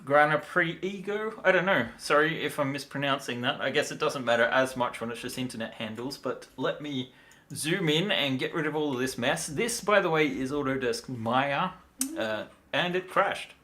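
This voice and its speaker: Tired man with a bright, forceful voice. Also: medium pitch, moderate pace. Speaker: livestream host, miniature designer. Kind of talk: casual livestream talk and software demo.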